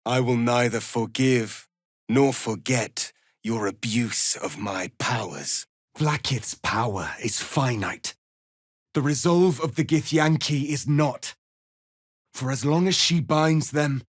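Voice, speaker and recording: A man's voice: mid-range voice, close to an everyday voice. A man playing a proud, embittered alien warrior prince in a fantasy video game, with grave, formal, imperious phrasing. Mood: bitter, accusing, then grave, resolute.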